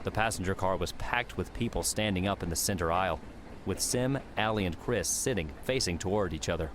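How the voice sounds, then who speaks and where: A man reading scripted fiction as an audiobook narrator, in a measured storytelling manner. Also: low-pitched, background noise.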